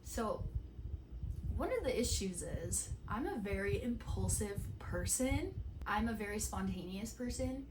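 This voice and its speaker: A woman in her late twenties speaks softly to the camera from home, working through her thoughts in a gentle, searching way. Her voice sits at a middle pitch, and she often restarts sentences. A steady hum of background noise runs under everything. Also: measured pace. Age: 20s. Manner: soft-spoken, thoughtful, with many restarts and "like". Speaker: vlogger. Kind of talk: casual reflective chat to camera.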